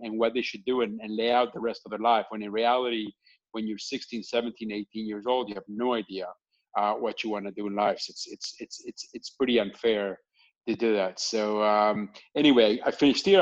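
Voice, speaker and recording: A man from Colombia with a lowish voice speaks haltingly, full of "uh"s and restarts. He tells his story as an interview guest over a call. The sound is dull and lo-fi. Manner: halting, many fillers and restarts. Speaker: guest entrepreneur. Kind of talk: casual interview on a video call.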